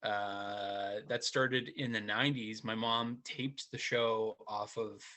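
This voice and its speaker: A man's voice: on the low side, steady tone, slow, sharp tone. A man chatting as a guest in an easygoing, laid-back way, still getting over a cough. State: lingering cough from a cold. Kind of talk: casual chat over video call.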